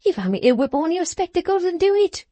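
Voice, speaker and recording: A woman's voice: very high voice, sing-song, fast, warm tone, no echo, voice pushed up. A woman voicing a jovial, boastful older man who speaks in broad, ungrammatical English, eager and enthusiastic. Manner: broad, rough-grammared, enthusiastic.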